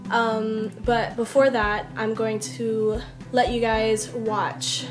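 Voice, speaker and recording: A young adult woman with a slightly high voice chats to her viewers in a personal vlog. She is candid and self-deprecating, rambling as she goes. Guitar music plays clearly behind her. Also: flat delivery, slow pace. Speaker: YouTube vlogger. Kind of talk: casual personal vlog to camera. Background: soft guitar music.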